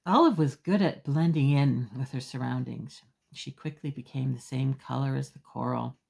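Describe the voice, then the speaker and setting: Woman, children's storyteller, low voice, slight creak, slow emphatic delivery, expressive read-aloud. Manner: simple, emphatic phrasing with small asides to young listeners.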